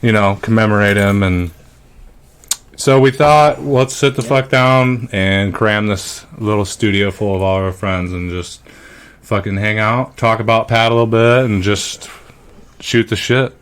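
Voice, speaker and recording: A man's voice: lowish voice, measured pace, a bit bright, smooth. Laid-back young man hosting a podcast. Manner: laid-back, swears freely. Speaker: podcast host. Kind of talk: casual chat. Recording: music playing under the voice.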